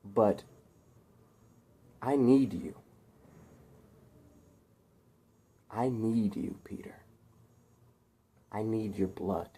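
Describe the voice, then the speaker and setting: A man's voice: high voice, sing-song, takes it slowly, a little mellow, voice pushed up, full character voice. A man voicing an unhinged young rich heir turned villain, speaking intensely in emphatic, ranting phrases. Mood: pleading, menacing.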